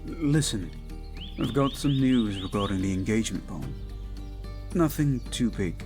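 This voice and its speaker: A man playing a devoted, protective young prince in an intimate audio roleplay, speaking gently and somewhat formally to his betrothed. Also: on the low side, lively intonation, over music. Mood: hesitant, informative. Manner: gentle, soothing, measured, slightly formal phrasing.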